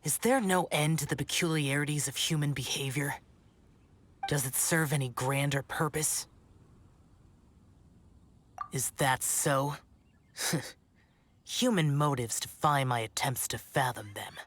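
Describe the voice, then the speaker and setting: A man's voice: a little high, a little slow, long pauses, a bit bright. A man playing an aloof, brooding young immortal guardian warrior in a video game, speaking in a solemn, formal and disdainful manner.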